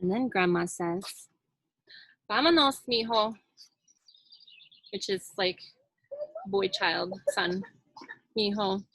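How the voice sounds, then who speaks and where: A woman's voice: medium pitch, sing-song, slow pace, gravelly, long pauses, fairly bright tone, poor audio. A woman leading a children's sign language storytime, demonstrating and explaining as she goes. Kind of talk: sign language storytime lesson with singing and read-aloud.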